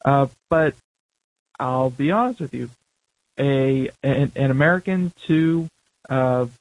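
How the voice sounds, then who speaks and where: A man co-hosting a talk radio show, casual and joking, speaking in halting bursts. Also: mid-range voice, dry recording, poor audio. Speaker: radio co-host.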